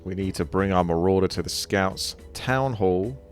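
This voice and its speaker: A man's voice: low voice, normal speed, soft background music. A man giving casual live gameplay commentary as a streamer, thinking aloud as he plays. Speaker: game streamer.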